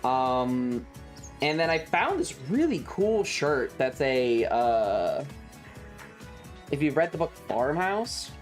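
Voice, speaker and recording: Casual game streamer: unhurried, rambling, wry and sarcastic, very lively intonation, faint music underneath. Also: medium pitch. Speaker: livestreamer. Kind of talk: casual livestream chat while gaming.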